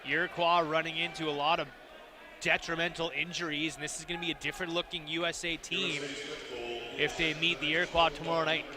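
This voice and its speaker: A man giving steady, analytical color commentary on a lacrosse game. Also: a little high, brisk. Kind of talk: sports analysis.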